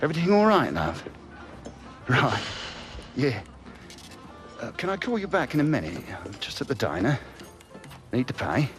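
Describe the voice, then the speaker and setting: A man's voice: mid-range voice, huge pitch swings, moderate pace, long pauses, faint music behind. An actor playing a middle-aged father and football scout in a game drama, casual, coaxing and evasive. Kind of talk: video game cutscene drama.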